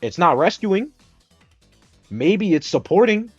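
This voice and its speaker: A man's voice: high voice, sing-song, takes it slowly, slightly rough, no room sound, highs cut off, faint music behind. A young man from the Dominican Republic hosts a stream and argues hard about a game, swearing often and getting more and more exasperated. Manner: argumentative, swears a lot, rising exasperation. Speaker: streamer host. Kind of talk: heated casual gaming debate.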